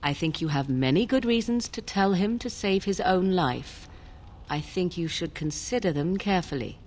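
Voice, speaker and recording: An actress playing a cool, secretive witch in a fantasy video game, speaking in a formal, deliberate, insistent way. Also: on the low side, animated, a little mellow, slight background noise, close to an everyday voice. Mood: coolly persuasive. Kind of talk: scripted video game dialogue acting.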